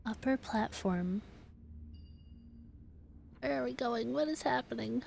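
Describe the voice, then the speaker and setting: A woman's voice: a little high, slow pace, gravelly, a little mellow, a little hiss or hum. A woman game streamer giving casual commentary as she plays and reads bits aloud. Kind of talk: casual gameplay commentary, reading quests aloud. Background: game music.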